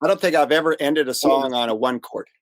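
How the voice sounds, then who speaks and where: A man's voice: medium pitch, fast, no room sound. American male producer guest, dry studio-close sound, colloquial, enthusiastic, humble about himself. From United States. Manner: self-deprecating, enthusiastic, colloquial. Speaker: producer and engineer, interview guest. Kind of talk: casual chat.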